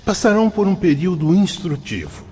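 An actor in a radio drama playing a learned, fatherly spirit mentor who teaches in measured, emphatic oratory. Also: high-pitched, big pitch swings, darkish tone, slight background noise. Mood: solemn, instructive.

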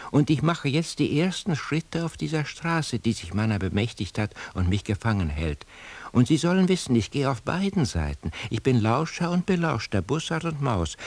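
An actor playing an obsessive, bitterly servile eavesdropper in a radio play monologue, husky and intense. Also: male voice, medium pitch, a little mellow, no room sound, heavily acted. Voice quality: husky, gravelly, breathy half-whisper. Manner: intense, deliberate, theatrical.

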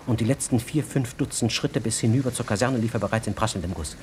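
A man narrating a literary radio play in an expressive, dramatic storytelling style. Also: medium pitch, quick pace, a little hiss or hum, close to an everyday voice. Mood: tense, dramatic. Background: music.

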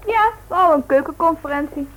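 An actress playing a teasing, lively grown daughter in an old Dutch radio family serial, chatting brightly with her relatives. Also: high voice, moderate pace. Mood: surprised. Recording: noisy old radio play recording.

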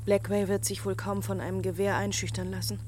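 A woman's voice: medium pitch, level tone, fairly quick, a little mellow, slight background noise, natural voice. A woman acting in a German radio drama as an anxious, impatient woman who keeps questioning. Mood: anxious, insistent. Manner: urgent, questioning.

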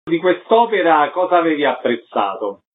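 Male interviewer for radio, slightly high pitch, brief presentational delivery, tinny phone-like recording. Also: sing-song, normal speed. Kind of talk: radio interview, announcing show details. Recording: narrow, phone-like radio sound.

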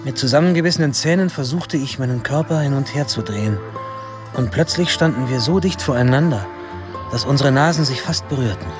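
A man's voice: medium pitch, brisk, lightly acted. An actor playing a young man candidly narrating his own story in a German radio drama. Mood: reflective. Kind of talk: scripted first-person radio drama narration. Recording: clear music under narration.

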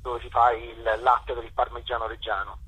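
A man interviewed as a theatre director and actor explains things casually, with a hesitant, halting delivery. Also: medium pitch, flat delivery, sharp tone, breathy edge, low-quality recording.

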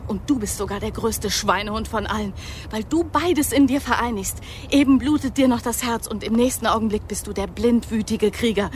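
A woman's voice: on the high side, normal speed, darkish tone, a little hiss or hum, natural voice. An actress playing a defiant, wounded young woman agent in a radio drama, with a blunt, challenging manner. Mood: bitter, challenging.

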